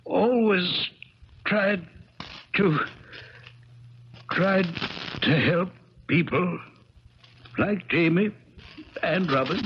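An actor playing a sick, doting old uncle in a plaintive, wistful radio drama style. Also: male voice, high-pitched, very slow, pauses often, heavily acted. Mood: wistful, tender. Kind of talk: 1970s radio drama acting. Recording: dull crackly 1970s radio recording.

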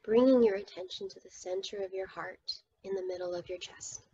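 A woman leading a guided meditation as its teacher, speaking soothingly and often dropping toward a breathy whisper. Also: medium pitch, a little fast, dark tone, muffled recording, slight background noise.

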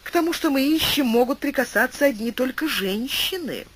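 A man acts out a busy slave woman in a classic tale. The voice sits very high and moves animatedly, earnest and hurried in manner. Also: animated, noisy background. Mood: alarmed, brisk. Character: busy female Syrian slave searching a meadow.

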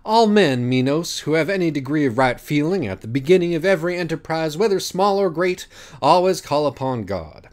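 A man's voice: a little high, expressive, moderate pace, darkish tone, voice pushed up, natural voice. A man voicing an aloof, theatrical man who judges sins in an audiobook, pedantic and self-important. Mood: grandiose. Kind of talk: audiobook character voice.

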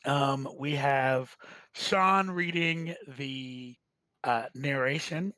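A man hosting a staged reading, talking casually and haltingly. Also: medium pitch, sharp tone, dry recording. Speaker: host of a staged game reading. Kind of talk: casual commentary and introductions.

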